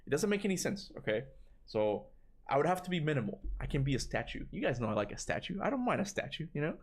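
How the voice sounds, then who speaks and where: A man with a Cuban accent chatting casually on a livestream, rambling and animated, answering chat and swearing now and then. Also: on the high side, fast, breathy edge. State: tired voice from long talking. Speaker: gaming streamer answering chat.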